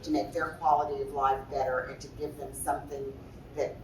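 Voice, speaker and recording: A woman's voice: a bass voice, level tone, brisk, roomy sound, far from the mic, background noise. A woman interior designer on a trade-show panel, speaking forthrightly in a big voice with many restarts.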